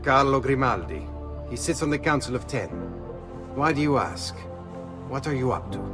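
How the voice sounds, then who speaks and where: An actor playing an excitable, grateful rebel leader in a video game, brisk and inquisitive. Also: male voice, mid-range voice, music in the background, natural voice. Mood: eager. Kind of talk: video game cutscene acting.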